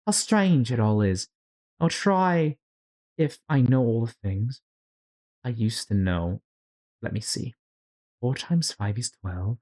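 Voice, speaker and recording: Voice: medium pitch, a little mellow, voice pushed up. A storyteller voicing a dramatic, bewildered young girl who frets and scolds herself in a storybook. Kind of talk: storybook character read-aloud.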